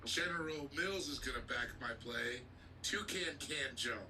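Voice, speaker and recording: Bright-voiced playful podcast man. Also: mid-range voice, measured pace. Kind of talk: casual podcast chat. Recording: distant mic, faint noise.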